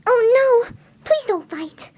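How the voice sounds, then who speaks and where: A woman voicing a timid, anxious, gentle female pegasus pony in an amateur story reading, meek and stammering. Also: very high-pitched, animated, slow pace, exaggerated voice. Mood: anxious pleading. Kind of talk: amateur dramatic reading of fan fiction.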